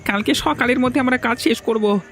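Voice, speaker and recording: Man voicing a high, creaky, timid villager. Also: a little fast, a bit bright, soft background music. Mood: anxious, questioning. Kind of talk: dramatic dubbed cartoon dialogue.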